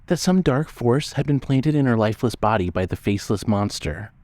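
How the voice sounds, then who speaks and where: A man's voice: mid-range voice, animated, brisk, a little mellow, no room sound. A man narrating a scripted dramatic story as an audiobook-style narrator.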